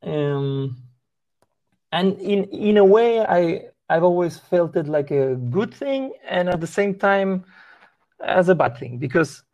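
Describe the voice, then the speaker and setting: A man's voice: sits high, animated, slow, darkish tone, highs cut off. A young man from Chile with a Chilean Spanish accent, a Spanish native speaker, talking as a musician guest in a casual interview, hesitant and full of restarts. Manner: hesitant, with many restarts and fillers.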